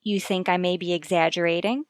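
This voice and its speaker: A woman's voice: fairly low pitch, fairly even intonation, fairly quick, clear, resonant tone, no room sound, studio quality, lightly acted. An actress playing an earnest, anxious but determined wife and mother in a 1950s radio soap, formal and firm. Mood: polite, uneasy.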